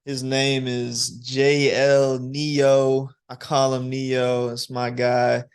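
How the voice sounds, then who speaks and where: A man's voice: medium pitch, clear, resonant tone. A young man hosting a student interview show, asking encouraging questions. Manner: slow, even, encouraging questions.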